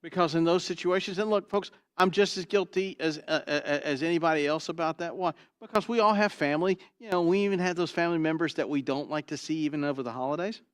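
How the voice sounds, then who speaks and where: A pastor with a booming, trained voice preaching an expository sermon, swinging from loud emphasis to quiet asides and asking "Why?" before he answers. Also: fast talker, hardly any pauses, clean tone, no echo. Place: church sanctuary.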